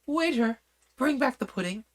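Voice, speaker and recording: A polite, nervous young girl, as a man reads her aloud speaks in a raised, bright voice. She is earnest and a little hesitant, always minding her manners. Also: high-pitched, big pitch swings, a little fast, pitched above the performer's own voice. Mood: bold.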